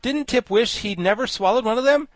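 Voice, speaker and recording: A man voicing a pompous, highly educated giant insect in a storybook, declaiming pedantically and emphatically. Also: a little fast, no room sound. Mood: emphatic, smug. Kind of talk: storybook character voice.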